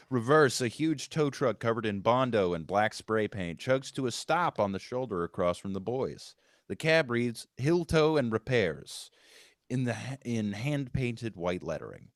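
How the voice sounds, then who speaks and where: A man's voice: mid-range voice, no room sound, clean studio sound. A man reading out stage directions as scripted narration at a table read of a screenplay.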